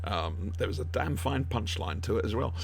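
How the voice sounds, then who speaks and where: A man's voice: mid-range voice, expressive, moderate pace, creaky, a little hiss or hum. A man hosting a podcast in casual, jokey chat, often rambling and losing his thread. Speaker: podcast host.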